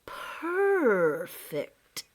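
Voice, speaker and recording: A woman voicing a fussy, flustered male cat creature who stammers and talks in a breathy, fluttery way. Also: medium pitch, huge pitch swings, extremely slow, voice pushed up, heavily acted. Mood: coaxing.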